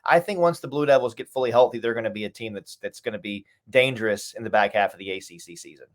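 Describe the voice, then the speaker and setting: A man's voice: lowish voice, fast talker. A man who works as a sports announcer, giving casual, emphatic analysis as an interview guest.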